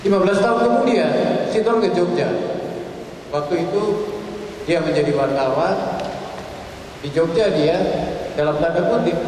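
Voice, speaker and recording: A man's voice: fairly high pitch, a little mellow. A man giving an informal literary talk to an audience as he introduces poetry readings at an event. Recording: very echoey hall, distant mic, hiss.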